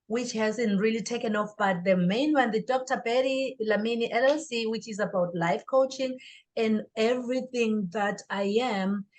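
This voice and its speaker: A woman's voice: on the high side, normal speed, a little mellow. A woman speaking as an interview guest, a life coach and author, in an earnest, motivational way. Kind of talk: interview answer.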